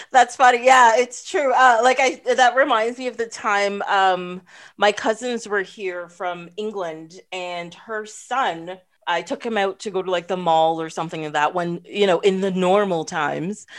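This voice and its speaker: A woman in her thirties from Canada, hosting a podcast in a relaxed, chatty way. She laughs easily and fills her speech with "um" and "like." Her voice sits at a middle pitch for a woman. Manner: upbeat, laughs often, filler-heavy. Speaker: podcast host. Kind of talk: casual chat.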